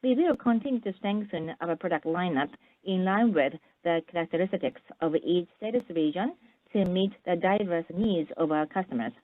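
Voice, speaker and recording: Corporate presenter, female, lowish pitch. Formal scripted read for an earnings call, heard through a thin telephone connection. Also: normal speed, no room sound. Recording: narrow, tinny phone-line sound.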